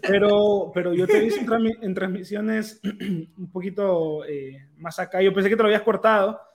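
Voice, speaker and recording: High-voiced man talking football. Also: moderate pace. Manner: enthusiastic football analysis. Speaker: podcast co-host. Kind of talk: live football podcast chat.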